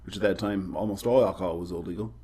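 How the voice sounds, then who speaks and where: A middle-aged man, a guest professor, explaining history in a measured, storytelling way during casual trivia chat. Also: deep voice, warm tone, breathy edge.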